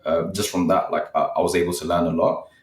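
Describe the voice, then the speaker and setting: A man's voice: low voice, quick pace. A man, a podcast guest talking casually and rambling, with lots of fillers. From Nepal, raised in West London. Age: 20s. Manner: relaxed, rambling, heavy use of fillers like "like" and "you know". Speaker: guest, student content creator. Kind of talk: casual interview chat. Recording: roomy and distant from the mic.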